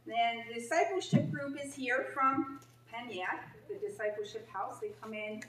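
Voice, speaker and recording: A woman's voice: fairly high pitch, normal speed. An older woman making casual, joking church announcements in a sanctuary. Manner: chatty, joking, animated. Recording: echoey church from a distance.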